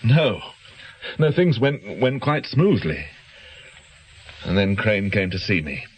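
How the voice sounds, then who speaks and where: An actor playing an anxious, guilt-ridden doctor in a radio drama, speaking in hesitant, defensive, educated phrases. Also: male voice, fairly low pitch, sing-song, takes it slowly, a little hiss or hum. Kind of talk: naturalistic radio drama acting.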